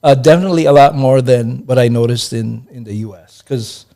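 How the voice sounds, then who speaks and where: A middle-aged man from Hawaii, a guest in an interview, talking in a relaxed, hesitant way with many fillers and restarts. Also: mid-range voice, fairly quick. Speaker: interview guest, accounting professor.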